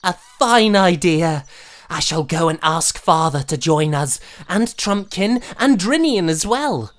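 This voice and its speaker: A woman's voice: lowish voice, animated, takes it slowly. A woman playing a cheerful, eager young prince in a fan audio drama, with courtly, exclaiming speech. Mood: excited, eager. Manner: breathless, exclaiming, courtly old-fashioned phrasing. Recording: music under the voice.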